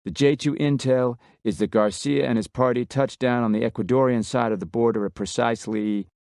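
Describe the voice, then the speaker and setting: A man voicing a brisk male American commander with a Texan drawl, giving a loud, commanding briefing. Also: mid-range voice, fairly even intonation, no room sound, clean studio sound, pitched above the performer's own voice, lightly acted. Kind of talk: audiobook character voice.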